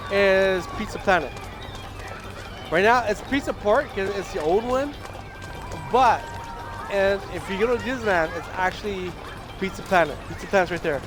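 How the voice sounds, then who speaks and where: Hoarse, high-voiced man rambling on stream. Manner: rambling, with many restarts. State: hoarse, losing his voice. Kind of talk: casual livestream chat. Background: video game music.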